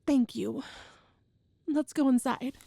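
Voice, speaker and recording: A very high, unhurried young woman's voice performed by an actress, recorded close and dry. She is a tender, nervous girlfriend in an intimate ASMR roleplay, halting and earnest as she explains herself. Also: mellow, no echo, heavily acted. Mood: earnest, pleading. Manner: hesitant, halting, earnest, breathy sighs and nervous laughs.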